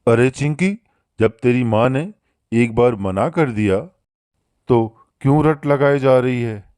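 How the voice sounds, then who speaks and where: Man acting a slow, gruff pigeon father. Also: medium pitch, darkish tone, no room sound, studio quality, natural voice. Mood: dismissive, bossy. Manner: curt, dismissive. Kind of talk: Hindi moral-story cartoon dub.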